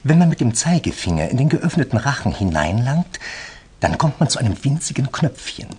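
An actor playing an anxious, eager merchant in a German radio drama, polite and earnest with halting hesitations. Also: male voice, mid-range voice, sing-song, darkish tone, husky. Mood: agitated, confiding. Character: anxious, eager male merchant seeking a detective's help.

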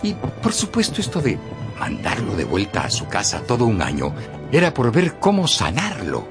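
Casting: male narrator for a radio novel, expressive and animated, medium pitch, music clearly underneath. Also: moderate pace. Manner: expressive storyteller. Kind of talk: scripted storytelling narration for radio.

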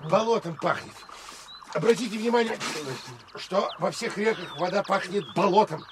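An actor playing a young, cheeky, grumbling soldier in a war audio drama, joking and complaining in a colloquial way. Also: male voice, sits high, normal speed, background noise, natural voice. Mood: playful. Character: cheeky, grumbling, lively male soldier scout.

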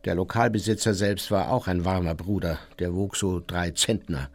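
An actor playing an old, unrepentant war criminal who reminisces boastfully and matter-of-factly in a radio play. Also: male voice, deep voice, steady tone, moderate pace, gravelly. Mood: callous, matter-of-fact. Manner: chatty, boastful, matter-of-fact storytelling.

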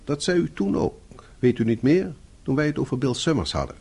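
An actor playing a blunt but concerned office boss in a Dutch radio play, formal and probing, with a husky voice. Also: male voice, mid-range voice, animated, brisk, mellow. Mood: stern, concerned.

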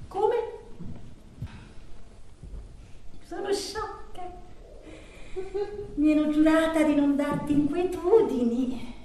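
Heartbroken woman begging her lover on the phone, high voice, super echoey n far from mic. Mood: fragile, apologetic. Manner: halting, repetitive, swinging from hushed to anguished. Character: desperate lovesick abandoned woman pleading on the telephone. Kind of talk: theatrical stage monologue. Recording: very echoey, distant stage recording, noisy.

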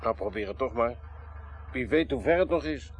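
An actor playing a grumbling, wry detective sidekick in a radio drama, talking in a dry colloquial way. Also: male voice, medium pitch, lively intonation, normal speed, a little hiss or hum, natural voice.

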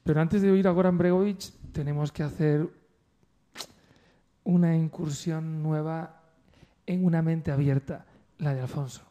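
A man's voice: on the high side, fairly even intonation, a little slow, long pauses, warm tone. A man co-hosting a radio programme in a casual, chatty style. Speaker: radio show co-host.

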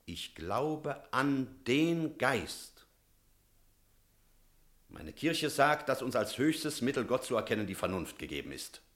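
An actor playing an energetic, rational village priest in a German radio drama, speaking in a decisive, emphatic way. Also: male voice, medium pitch, slow. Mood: stern, reasoning. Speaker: character in a radio play.

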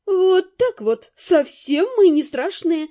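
A woman voicing a cheerful, energetic young female bandit with a mocking, amused manner in an audiobook. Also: high-pitched, unhurried. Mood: amused, teasing.

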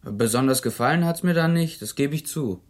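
A man's voice: medium pitch, a little fast, lightly acted. A man acting an evasive, cocky drifter accused of murder in a German radio drama, terse and mock-polite. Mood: flippant, evasive.

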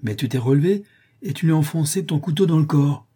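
A man's voice: on the high side, fast talker, dark tone, husky, pitched above the performer's own voice. A man voicing a vengeful, threatening male grave robber. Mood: scheming. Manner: threatening, bitter.

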